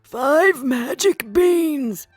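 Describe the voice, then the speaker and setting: A man voicing an old man bartering in a children's storybook tale, speaking loudly and deliberately. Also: extremely high pitch, animated, no room sound, faint music behind, heavily acted. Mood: bargaining. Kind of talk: children's storybook character acting.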